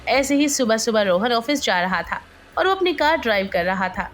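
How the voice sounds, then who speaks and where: Woman, story narrator, high-pitched, very lively intonation, scripted delivery, music underneath. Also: normal speed.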